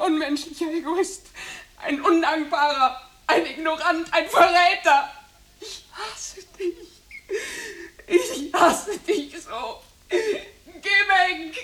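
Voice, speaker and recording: Clingy overbearing hostess in a 70s radio play, high pitched, pushy and emotional. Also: female voice, slow pace, a bit bright, exaggerated voice. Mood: hysterical, tearful. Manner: insistent, emotionally pleading. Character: possessive, overbearing married hostess, clinging to her guest. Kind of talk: 1970s German radio play acting.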